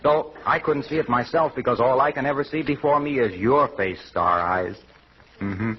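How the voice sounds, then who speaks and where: A man's voice: medium pitch, moderate pace, breathy edge, lightly acted. An American actor playing a chummy, sugary-talking salesman pal in polished 1940s radio comedy style. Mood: sugary, gushing. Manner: polished, ingratiating. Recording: muffled, hissy vintage radio recording.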